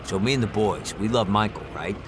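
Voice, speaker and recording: An actor playing an excitable, starstruck young male cab driver in an audio drama, colloquial and eager. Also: fairly low pitch, brisk, close to an everyday voice. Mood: starstruck, chatty. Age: young adult. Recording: noisy car and street ambience.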